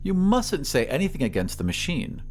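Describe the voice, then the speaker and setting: A man voicing an irritable, hurried mother in an audio drama, exclaiming impatiently. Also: fairly high pitch, wildly swooping pitch, faint music behind. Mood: shocked. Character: irritable, impatient mother, swaddled and pale, devoted to machine.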